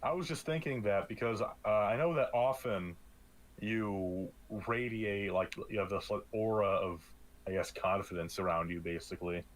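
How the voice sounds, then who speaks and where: A young man with a deep, loud voice co-hosting a podcast in casual chat, often restarting his sentences and joking dryly. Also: normal speed, low-quality recording. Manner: deep loud voice, hesitant restarts, dry jokes. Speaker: podcast co-host. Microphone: streamed podcast mic.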